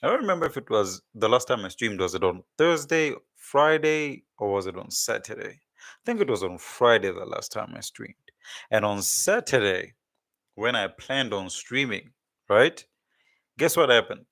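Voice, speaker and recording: A man's voice: fairly low pitch, animated, normal speed, vocal fry, fairly bright tone, dry recording, clean studio sound. A man hosting a casual religious livestream, congested with a blocked nose and sore throat, rambling and repeating words as he searches for them. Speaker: livestream host.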